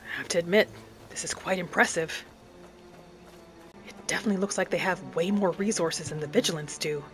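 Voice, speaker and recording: A woman's voice: fairly low pitch, a little fast, faint music behind. Musing woman doing gameplay roleplay. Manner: musing, questioning. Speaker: gamer, host of roleplay playthrough. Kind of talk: in-character gameplay commentary.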